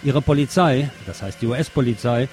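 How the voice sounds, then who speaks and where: A man's voice: mid-range voice, normal speed, darkish tone. A man presenting scripted news commentary as a radio presenter, with pointed, sardonic phrasing.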